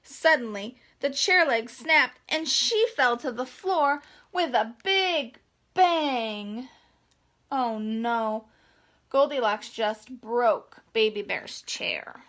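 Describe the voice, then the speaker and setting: Bright, high-voiced woman reading to children. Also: takes it slowly. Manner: expressive, simple explanations addressed to children. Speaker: children's storytime reader. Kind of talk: storytelling read-aloud for young children.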